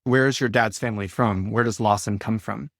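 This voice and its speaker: A man hosting a podcast, reading a scripted introduction and asking interview questions in a measured way. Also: fairly low pitch, fairly even intonation, vocal fry, a bit bright, no room sound, clean studio sound. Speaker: podcast host.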